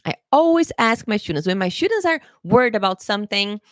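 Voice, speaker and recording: A woman English teacher talks through an exam-prep lesson in a relaxed, chatty way, laughing often and checking in with her viewers. Her voice sits at a middle pitch and is recorded close and dry, with no room sound. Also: a little fast, no room sound. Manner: chatty and encouraging, keeps checking in with "okay?"; laughs often, playful pet phrases.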